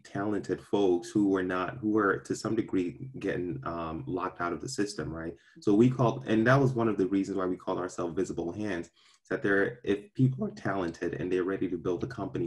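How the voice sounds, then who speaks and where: Deep-voiced young guy on a video call from a park, measured and thoughtful, lots of ums. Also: a little mellow. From born in Ghana, raised in Brooklyn. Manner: earnest, measured, many ums and repeated starts. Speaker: venture capital partner, guest. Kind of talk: casual interview answers. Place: outdoors in a park. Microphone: video call webcam.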